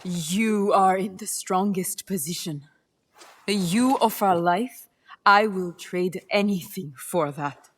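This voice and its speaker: A woman playing a poised, cunning, self-assured game character with a measured, unhurried way of talking. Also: medium pitch, husky. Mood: cool, bargaining. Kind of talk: video game cutscene acting.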